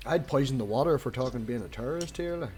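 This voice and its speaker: A man's voice: mid-range voice, lively intonation, very fast, a crowd in the background. A man with a Northern Irish accent hosting a casual stream chat, rambling and swearing freely. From Northern Ireland.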